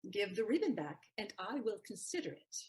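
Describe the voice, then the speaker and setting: A woman playing a quick-witted, teasing young lady's maid in a theatrical period comedy, arch and wary with a sharp tongue. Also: mid-range voice, very animated, close to an everyday voice. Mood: bargaining.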